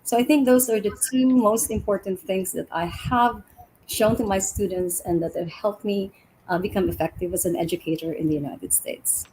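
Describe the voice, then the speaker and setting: A woman's voice: medium pitch, moderate pace, warm tone, slight background noise, low-quality recording. A woman from the Philippines who teaches elementary school, speaking earnestly as a panel guest and often repeating a word before going on. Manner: earnest, repeats words when starting sentences.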